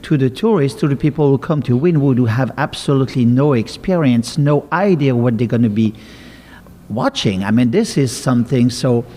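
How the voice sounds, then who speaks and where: A man hosts a casual interview podcast, asking his guest questions in a hesitant way with filler words. His voice is mid-pitched and mellow. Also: moderate pace, slight background noise. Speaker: podcast host.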